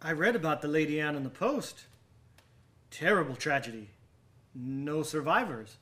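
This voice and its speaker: A man's voice: fairly high pitch, expressive, normal speed, bright, forceful tone. An actor in a radio drama playing a genial, obliging man, eager and polite as he questions his friend. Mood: concerned. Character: genial, obliging male friend of an old sailor.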